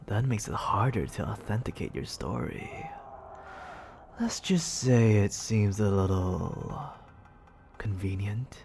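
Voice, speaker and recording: A suave, haughty demon lord acted out for an ASMR roleplay speaks very slowly and theatrically into a close mic. The voice is creaky and swings expressively, often sinking into breathy whispers. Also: low-pitched, a little mellow, a little hiss or hum.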